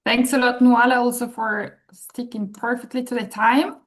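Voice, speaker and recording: Woman moderator, fairly high voice, courteous, on a video call. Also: steady tone, normal speed. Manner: polite and thankful. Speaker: session moderator. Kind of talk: online conference moderation.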